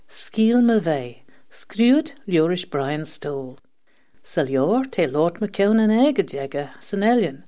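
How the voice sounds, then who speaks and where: A woman's voice: medium pitch, warm tone. A woman presenting a scripted radio magazine programme.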